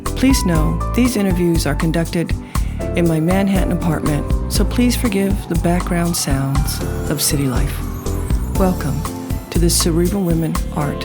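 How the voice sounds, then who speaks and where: Woman, podcast host, low voice, measured formal delivery, music underneath. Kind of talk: scripted introduction and interview questions. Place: Manhattan apartment.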